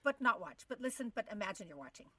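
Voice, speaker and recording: A woman's voice: mid-range voice, very animated, fast, pushed and bright, recorded from a distance. A middle-aged woman co-hosting a casual interview over a video call, speaking in an enthusiastic, effusive way. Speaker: podcast co-host. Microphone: video call microphone.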